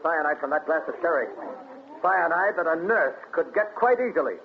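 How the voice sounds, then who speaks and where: An actor as a high-voiced, brusque police captain. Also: male voice, animated, moderate pace, a crowd in the background, close to an everyday voice. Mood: brisk, stern. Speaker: radio actor. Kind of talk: 1940s radio acting. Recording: thin, tinny old radio recording.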